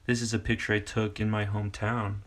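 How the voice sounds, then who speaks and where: A man in his forties from Kansas, a teacher casually explaining classroom games in a rambling, halting way full of fillers. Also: fairly low pitch, flat delivery, fairly bright tone. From Kansas, United States. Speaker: English teacher and video host. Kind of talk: casual tutorial talk.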